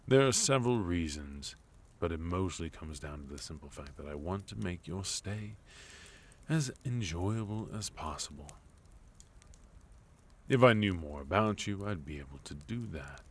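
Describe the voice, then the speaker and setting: A man playing a sophisticated, composed villain in an intimate ASMR roleplay, speaking in measured, courteous phrases with soft menace and deliberate pauses. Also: deep voice, expressive. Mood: courteous, probing. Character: sophisticated, composed male villain, leader of enemy organization.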